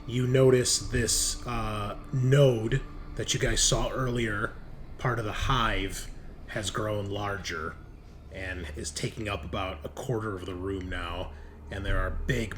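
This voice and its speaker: A man speaking as game master, casually improvising narration for a tabletop game. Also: lowish voice. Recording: clearly audible background noise.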